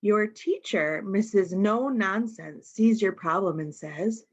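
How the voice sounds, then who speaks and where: A woman reading a picture book aloud to young children in an expressive way, pausing to explain the pictures. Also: mid-range voice, breathy edge, lo-fi sound. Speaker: storytime reader.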